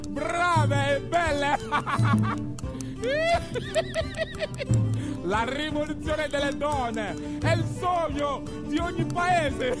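A man's voice: extremely high pitch, big-room sound, heavily acted. A man playing a mocking theatrical orator in a radio drama, declaiming with insistent, incantatory repetition. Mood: mocking glee. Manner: incantatory, repeating phrases insistently.

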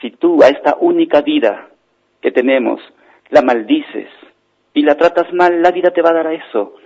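A man voicing a gentle, wise father teaching his small son in an oral tale, measured and solemn. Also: fairly high pitch, pitched above the performer's own voice. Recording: dull band-limited radio phone line.

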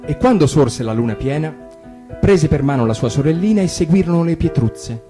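Man reading a fairy tale calmly. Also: fairly high pitch. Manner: calm, measured storytelling. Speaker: reader. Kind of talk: fairy tale read aloud on radio. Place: radio studio. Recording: guitar music underneath.

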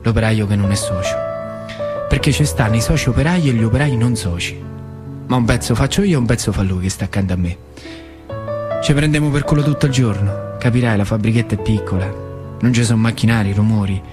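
A man's voice: fairly low pitch, fairly quick, close to an everyday voice. Man acting plain-spoken Lazio factory worker, music behind. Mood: wry, matter-of-fact. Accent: central Italian, rural Lazio. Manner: colloquial, repetitive, dwelling on words. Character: plain-spoken male worker-owner of a small factory, wistfully reminiscing. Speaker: stage actor in a solo narrative play. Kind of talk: theatrical monologue storytelling in colloquial dialect.

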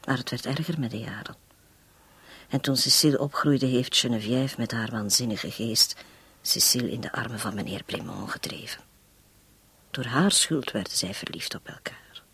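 An actress in a radio drama playing a long-suffering, resolute woman making a confession, her voice breathy and husky, her delivery halting and intense. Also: a bass voice, a bit bright, exaggerated voice. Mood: grave, bitter.

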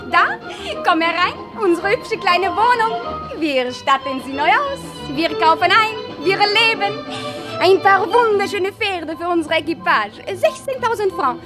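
A coquettish French demimondaine in a radio play, with a very high, theatrical voice. She teases and coaxes, mercenary and flighty, dropping French phrases, while music plays faintly behind her. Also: animated, unhurried. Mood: excited coaxing. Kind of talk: German radio drama acting.

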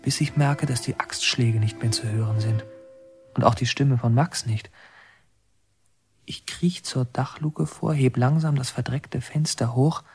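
A man acting as first-person narrator of a radio drama, an anxious son telling memories in hushed, intent short phrases. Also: medium pitch, steady tone, measured pace, husky, faint music behind. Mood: tense, secretive. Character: son recounting tense childhood memories, anxious and secretive.

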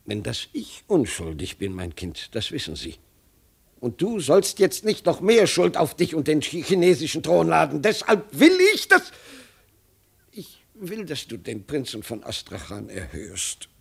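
An actor playing an absent-minded, stammering old Chinese emperor and flustered father in a 1950s radio drama, with a husky voice. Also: a little high, wildly swooping pitch, a little slow, heavily acted. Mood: hesitant, pleading. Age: older. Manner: absent-minded, stammering, repeating himself, chuckling.

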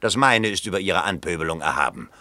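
An actor playing an ambitious, touchy middle-aged bourgeois would-be politician in a 1960s German radio play, emphatic and self-important. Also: male voice, sits low, animated, fast, bright, forceful tone, dry recording, full character voice. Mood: indignant.

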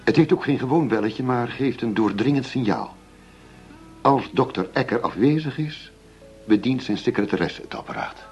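An actor playing a middle-aged police chief going undercover in a radio play, persuasive and matter-of-fact. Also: male voice, mid-range voice, expressive, moderate pace, a little mellow, faint music behind, lightly acted. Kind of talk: Dutch radio play acting. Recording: dull lo-fi radio play recording.

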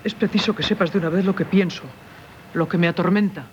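Actress playing a tormented Castilian wife, dull radio sound. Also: female voice, on the low side, fairly even intonation, fast, natural voice. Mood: anguished, pleading. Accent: Castilian Spanish. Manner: solemn, confessional, theatrical delivery. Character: tormented married woman haunted by a former lover. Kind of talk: 1970s radio theatre acting. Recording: dull, noisy 1970s radio recording.

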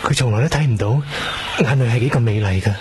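An actor with a Hong Kong accent playing a quiet, brooding young trainee doctor in a radio drama. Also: male voice, medium pitch, fast, close to an everyday voice. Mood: brooding, wistful. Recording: clearly noisy ambient background.

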